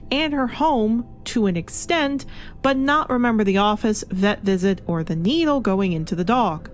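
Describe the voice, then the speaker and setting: A woman narrating a scripted video review as a critic, speaking in an emphatic, theatrical manner. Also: fairly high pitch. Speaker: comic reviewer.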